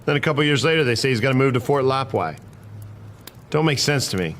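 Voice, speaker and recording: A man's voice: medium pitch, expressive, fast talker, fairly bright tone, a little hiss or hum, natural voice. A man playing a principled, stubborn cavalry private in a historical drama, arguing in folksy frontier speech. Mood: weary, doubtful.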